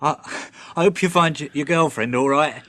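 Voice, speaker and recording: A man's voice: on the high side, sharp tone, no room sound. An actor playing a plain-spoken, friendly working-class British man in a radio drama, speaking hesitantly. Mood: weak, resigned. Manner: hesitant, halting.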